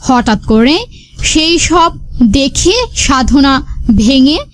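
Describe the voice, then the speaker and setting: A woman's voice: high-pitched, slow pace. A woman reading scripted narration for a cartoon folk story.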